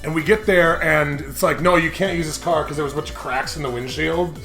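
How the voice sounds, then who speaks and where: A young man hosting a gaming show, chatting casually and telling animated, jokey stories with frequent laughter. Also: a little high, a little fast, soft background music. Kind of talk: casual let's-play commentary with dramatic read-alouds.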